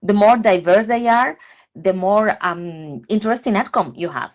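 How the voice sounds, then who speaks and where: A woman speaking as a podcast guest, earnest and halting, searching for words with many fillers. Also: mid-range voice, highs cut off. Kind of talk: casual panel discussion.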